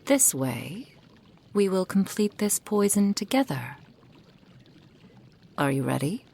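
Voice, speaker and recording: A woman's voice: medium pitch, sing-song, slow pace, mellow, heavily acted. A woman voicing a possessive kitsune witch mentor in a roleplay ASMR, speaking in formal, old-fashioned phrases. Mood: soft, coaxing. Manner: breathy whispering, formal archaic phrasing.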